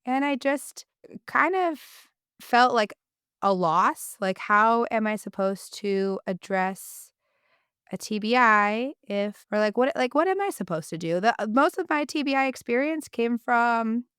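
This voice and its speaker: Young woman with a cold, higher voice, very clear tone, enthusiastic rambling host. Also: animated, a little slow, fairly bright tone, dry recording, studio quality. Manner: enthusiastic, rambling, self-correcting. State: congested from a cold. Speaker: podcast co-host, speech-language pathologist. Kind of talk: casual chat.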